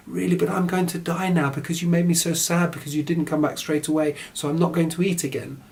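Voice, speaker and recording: A man voicing a gentle, lovelorn beast in a storybook tale, plaintive and courteous. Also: fairly high pitch, flat delivery, quick pace, gravelly, pitched above the performer's own voice. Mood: sad, weak. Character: gentle, sad, lovelorn male beast monster.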